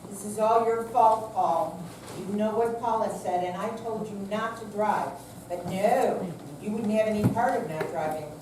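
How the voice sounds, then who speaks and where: A woman's voice: medium pitch, measured pace, close to an everyday voice. An older woman playing an anxious, long-suffering, nagging elderly wife in a staged reading, fretful and hesitant. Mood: scolding. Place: senior center hall, live performance. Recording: echoey room, distant mic, loud noise.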